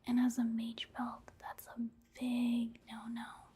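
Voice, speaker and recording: A woman softly whispering casual ASMR gameplay commentary in a breathy, hushed, unhurried way. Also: fairly high pitch, completely flat delivery.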